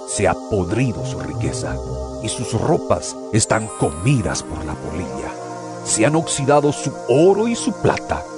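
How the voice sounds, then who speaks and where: A man playing a stern, fervent apostle in an audio Bible drama, reading scripture in a solemn, emphatic and deliberate way. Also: mid-range voice. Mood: fierce warning. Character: stern, fervent male apostle exhorting believers. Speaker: narrator reading a Bible epistle. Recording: studio audio drama with music behind. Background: music.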